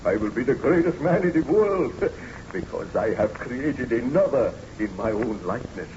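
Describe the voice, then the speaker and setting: An actor playing an obsessed, weary scientist in a theatrical, deliberate old-time radio drama style, on a muffled, noisy recording. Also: male voice, medium pitch, big pitch swings, close to an everyday voice. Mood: feverish, obsessed. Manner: theatrical, deliberate, brooding narration.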